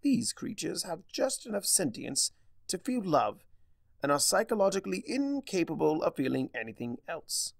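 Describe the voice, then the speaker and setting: Guy doing a crazed smug chaos spirit, super animated, bit of croak, fake cheerful, story reading, dry close sound. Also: on the high side, moderate pace, dry recording, pitched above the performer's own voice, full character voice. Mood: mock lecturing. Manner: theatrical, mock-cheerful, emphatic lecturing. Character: gleefully mad, theatrical male chaos spirit, smug lecturer.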